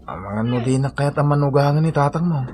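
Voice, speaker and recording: A man's voice: a little high, fast talker, darkish tone, close to an everyday voice. A man with an Ilocano accent playing an insecure, earnest young suitor in a radio drama, speaking hesitantly.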